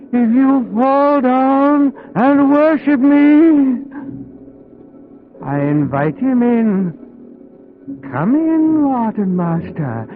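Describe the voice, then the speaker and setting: An actor playing a battered, dying madman in old-time radio horror style, halting, fevered and gasping. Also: very high voice, exaggerated voice. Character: battered, dying madman, raving servant of a vampire. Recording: muffled vintage radio, phone-like, music behind.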